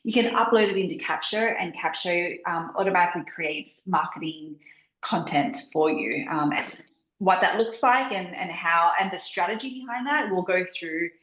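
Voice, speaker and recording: Female software founder, Australian accent, halting, echoey muffled call. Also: lowish voice. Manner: halting, fillers, restarts. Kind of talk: casual self-introduction. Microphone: video call. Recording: echoey, dull video call audio.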